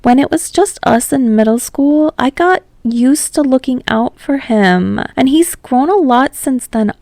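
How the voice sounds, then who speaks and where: A woman voicing a frank, caring, bossy teenage girl in an audio story, with a casual, emphatic delivery and drawn-out words. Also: high-pitched, animated, darkish tone, no echo, studio quality, voice pushed up. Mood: worried.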